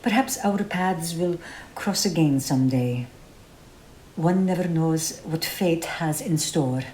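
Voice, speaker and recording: Gracious woman, mellow, formal and precise, audio drama, roomy sound, faint noise. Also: sits low, slow pace. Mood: gentle, helpful. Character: gracious, earnest Egyptian woman, museum guide. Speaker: actor in an audio play.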